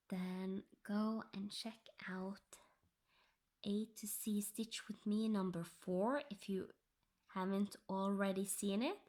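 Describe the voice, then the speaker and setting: A Norwegian woman, English her second language, chatting softly about her cross-stitch project and often dropping into a whisper. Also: mid-range voice. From Norway. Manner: slow, soft-spoken, drifting into whispers. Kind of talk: casual tutorial chat.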